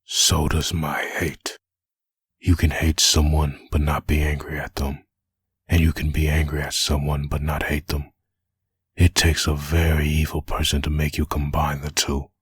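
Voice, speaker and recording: A man playing a brooding undead antihero full of hatred in a comic dub, with a gravelly rasp and a grim monologue delivery. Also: very deep voice, monotone, dry recording, clean studio sound, exaggerated voice. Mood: bitter, brooding. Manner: grim, slow internal monologue. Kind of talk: comic dub dramatic narration.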